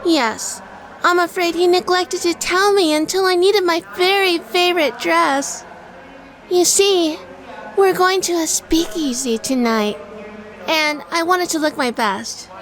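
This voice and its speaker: A woman's voice: very high-pitched, measured pace, fairly bright tone, voice pushed up. A woman putting on a sweetly fussy, affected housewife in an audio drama. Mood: affected sweetness. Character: sweetly fussy housewife blaming her husband, a ruse.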